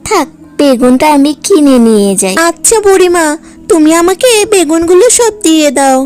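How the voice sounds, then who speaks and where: A woman acts out a kind cartoon mother fox with a very high, girlish pitch. She sounds plaintive and expressive, a caring young wife who worries easily. Music plays behind her. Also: normal speed. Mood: worried, pitying. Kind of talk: cartoon voice acting.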